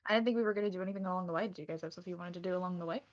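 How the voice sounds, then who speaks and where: A young woman, a player in a casual game chat, quick and playfully teasing. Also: lowish voice, highs cut off.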